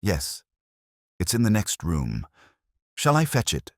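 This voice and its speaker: A man's voice: sits low, huge pitch swings, slow, darkish tone, no room sound, clean studio sound, exaggerated voice. A man voicing a guarded, composed Victorian woman with formal, evasive speech in an audiobook story. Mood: defensive, composed. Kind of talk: audiobook character dialogue.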